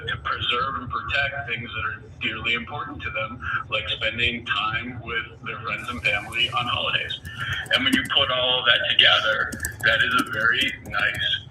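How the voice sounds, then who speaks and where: A male company executive answering questions on an earnings call, halting and repeating words as he goes. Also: medium pitch. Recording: noisy, echoey, distant conference call audio.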